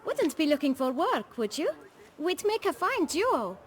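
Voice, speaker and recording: Woman as very high-pitched cheeky street boy. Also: very animated, measured pace. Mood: eager, cajoling. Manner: glib, eager, mock-polite. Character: cheeky young bootblack boy, wily street hustler. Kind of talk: video game cutscene acting.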